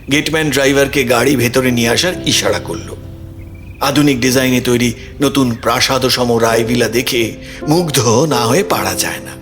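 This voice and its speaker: A man's voice: mid-range voice, fairly bright tone. A man reading scripted narration for a suspense audio story as its narrator. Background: background music.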